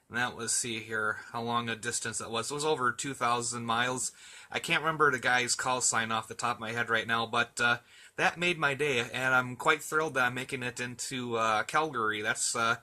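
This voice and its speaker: A man's voice: medium pitch, sharp tone. A man in a casual radio chat, talking in an easygoing, chatty and hesitant way as an amateur operator.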